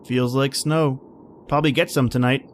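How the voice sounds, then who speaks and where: A man playing a calm, plain-spoken surveyor in a radio drama, narrating and talking through a lonely Arctic winter. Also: mid-range voice, normal speed, a little hiss or hum, close to an everyday voice. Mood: matter-of-fact.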